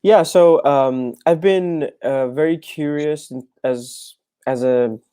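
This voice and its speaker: Young guy from dubai and pakistan on a video call, mellow voice, dry sound, lots of ums. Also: a little high, takes it slowly, clean tone, no echo. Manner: hesitant, many fillers and restarts. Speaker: startup founder, guest. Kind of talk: casual video interview. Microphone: wired earphones on video call.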